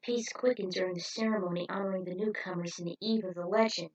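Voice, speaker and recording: A woman's voice: on the low side, fairly even intonation, fast talker, darkish tone, low-quality recording. Woman narrating a story. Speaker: narrator and writer of fan fiction. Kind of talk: scripted narration, reading a story aloud.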